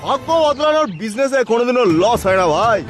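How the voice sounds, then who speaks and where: A man's voice: extremely high pitch, animated, quick pace, a bit bright, soft background music. A man playing a smug, knowing swindler in a comedy cartoon. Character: smug, scheming male swindler.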